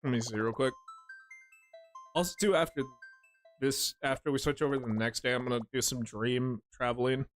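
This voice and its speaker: A man's voice: mid-range voice, fairly even intonation, brisk, pauses often, soft background music. A man streaming a game, chatting casually with his chat, rambling and joking with lots of swearing. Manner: rambling, profane, jokey storytelling.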